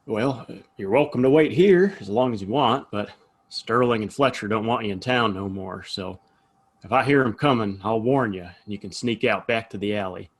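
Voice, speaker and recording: Man playing a husky, low-voiced folksy saloon keeper. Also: moderate pace. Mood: hearty, helpful. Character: friendly, folksy, protective frontier saloon keeper. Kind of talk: audio play western dialogue.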